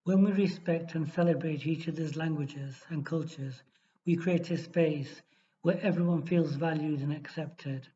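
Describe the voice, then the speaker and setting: A man tutoring for an exam, reading a model essay aloud in a steady, measured way with small restarts. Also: on the high side, slight background noise. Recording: dull lo-fi recording.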